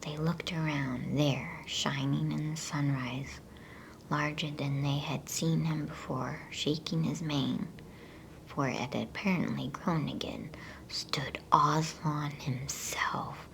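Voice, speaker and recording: A woman whispering an ASMR bedtime story in a hushed, soothing, unhurried way. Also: deep voice, fairly even intonation, creaky, low-quality recording. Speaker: ASMR storyteller and channel host. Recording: faint background noise.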